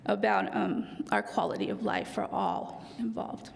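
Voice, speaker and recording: Raspy-voiced woman presenting to council. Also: mid-range voice, animated, unhurried, slight background noise. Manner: frequent ums, self-correcting. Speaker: police department presenter. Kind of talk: formal presentation to city council. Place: council chamber.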